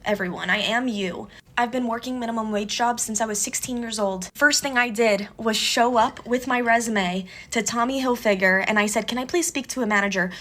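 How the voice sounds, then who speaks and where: A woman's voice: fairly high pitch, fairly bright tone. A woman in her twenties, Portuguese native speaker, talking earnestly and emotionally about her life in a personal video. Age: 20s. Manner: earnest, emotional, talks fast. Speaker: recent graduate. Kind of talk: personal social media video.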